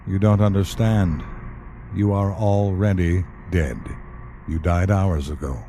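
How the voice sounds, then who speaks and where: Guy playing a calm dry government spook in an audio drama, dark mellow lowish voice, noisy background. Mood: matter-of-fact. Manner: measured, matter-of-fact, dryly polite. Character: calm, wry male government specialist who questions the dead.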